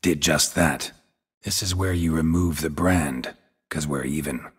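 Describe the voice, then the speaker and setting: An actor playing a gruff, guarded monster hunter in a video game, terse and deadpan, with a gravelly voice. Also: male voice, very deep voice, unhurried, heavily acted. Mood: blunt, suspicious. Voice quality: gravelly, husky.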